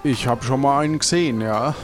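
A man's voice: mid-range voice, brisk, soft background music, lightly acted. Man playing a goading pirate companion, mid-range voice. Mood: teasing, goading. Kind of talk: improvised audio adventure play.

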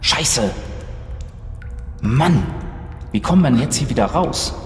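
Male game player, animated intonation, hesitant improvised delivery, strongly echoing sound. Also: medium pitch, takes it slowly. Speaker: role-playing game player. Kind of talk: improvised in-character tabletop play. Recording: echoey, reverberant, faint background noise.

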